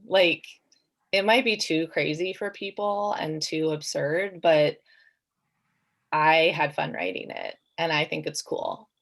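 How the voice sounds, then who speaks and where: Low, creaky-voiced woman, slow and reflective. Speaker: author on a festival panel. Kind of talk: casual, reflective panel talk.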